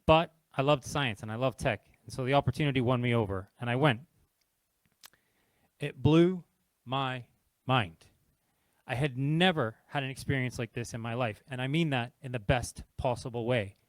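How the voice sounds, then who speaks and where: A man's voice: mid-range voice, moderate pace, no room sound. A middle-aged man from Canada with a Canadian accent, telling a personal story at an industry event in a candid, conversational way and admitting he is nervous. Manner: nervous but candid, conversational, walking around while speaking. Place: event venue, in front of a live audience.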